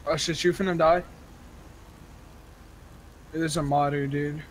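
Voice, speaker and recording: A man's voice: on the high side, little pitch movement, unhurried, low-quality recording. A man bantering casually while playing a video game, using slangy, profane talk. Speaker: gamer streamer playing a roleplay server. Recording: game audio with vehicle noise. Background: video game ambience, cars.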